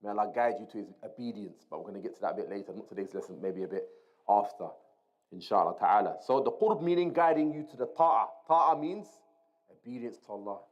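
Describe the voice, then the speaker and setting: A man's voice: on the low side, animated, fairly quick, muffled recording. A man giving an Islamic lesson as a religious teacher, explaining a text didactically, repeating key terms and mixing Arabic quotations into his English.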